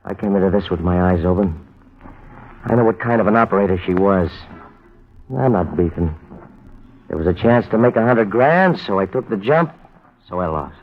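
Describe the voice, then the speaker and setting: Tough, lowish-voiced cornered crook. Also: male voice, animated, normal speed, long pauses. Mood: resigned, tough. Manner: tough, slangy, sardonic. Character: cornered, bitter small-time male crook, fatalistic. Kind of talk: 1950s crime radio drama acting. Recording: dull vintage radio recording.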